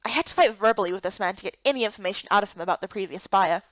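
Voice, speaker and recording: A woman's voice: mid-range voice, very fast, fairly bright tone, dry recording, highs cut off, pitched below the performer's own voice, lightly acted. A woman voicing a curious, unsure young human heroine, plain-spoken, in visual novel dialogue and narration. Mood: narrating.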